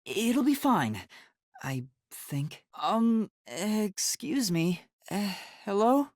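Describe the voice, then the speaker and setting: A man voicing an earnest, timid young male detective in a video game, soft-spoken and hesitant, with a breathy, youthful voice. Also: high-pitched, big pitch swings, extremely slow, no echo, studio quality, full character voice. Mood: anxious, puzzled. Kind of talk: English video game voice acting.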